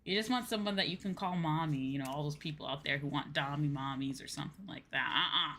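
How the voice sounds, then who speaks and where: A woman VTuber chats casually while streaming a game. Her voice is slightly low, and she talks in a blunt, playful, emphatic way with some swearing. There is faint background noise. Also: fast, a bit bright. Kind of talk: casual game livestream chat.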